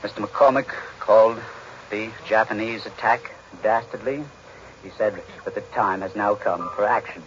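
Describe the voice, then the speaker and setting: Low-pitched American newsman on muffled old radio. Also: steady tone, moderate pace. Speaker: radio news analyst. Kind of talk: 1940s news report, summarizing speeches. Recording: muffled, noisy 1940s radio recording.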